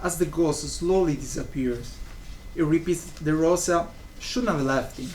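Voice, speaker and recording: A man's voice: fairly high pitch, measured pace, darkish tone. A young man reading scripted narration of a stage play. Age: young adult. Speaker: student narrator of a play summary. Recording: faint room noise.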